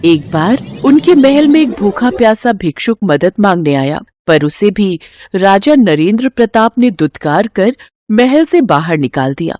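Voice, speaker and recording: A benevolent goddess from a cartoon fairy tale, voiced by a female storyteller. She speaks formally and solemnly in a medium-pitched voice with very expressive intonation. The sound is close and dry. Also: unhurried, no room sound, natural voice. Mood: solemn, reassuring. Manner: formal, declamatory, explanatory. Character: benevolent, solemn goddess revealing an old secret. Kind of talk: Hindi moral-story cartoon.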